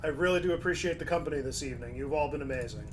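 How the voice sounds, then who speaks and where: A man's voice: a little high, very fast, slight background noise. A man streaming casually, reading chat aloud and commenting in a laid-back way, his voice starting to go.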